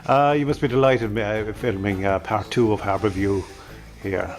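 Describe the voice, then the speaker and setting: A man's voice: fairly low pitch, fairly even intonation, moderate pace, faint music behind. An Irish man doing casual radio interviews on location.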